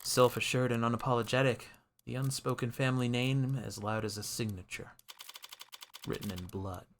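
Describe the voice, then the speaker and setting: A man's voice: mid-range voice, steady tone, moderate pace, slight background noise, natural voice. A man voicing a wry, brooding defense attorney in a world-weary noir game monologue. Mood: poetic, wary. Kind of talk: noir visual novel narration.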